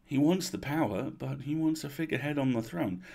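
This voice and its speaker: Guy doing a tired old noblewoman in a storybook, formal, sad n grave, kinda raspy. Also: medium pitch, dark tone, voice pushed up. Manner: formal, measured phrasing, sighing. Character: weary, regretful old duchess, formal and grave.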